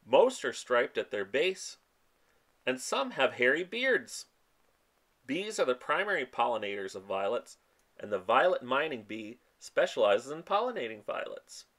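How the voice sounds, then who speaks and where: This man reads a picture book aloud in a voice that swoops up and down with lively, sing-song energy. His pitch sits in the middle of a man's range, and he gives each rhyme and plant name a storyteller's lift. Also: unhurried. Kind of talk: expressive children's read-aloud. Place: outdoors in a spring forest, and a voice-over on book pages.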